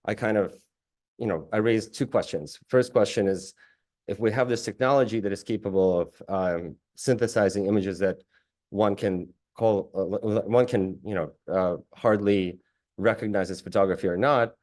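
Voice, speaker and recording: A man in his late thirties from Russia, giving an online talk about his work, thoughtful and halting, with frequent restarts. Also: on the low side, a little mellow. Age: late 30s. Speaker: guest artist giving a talk.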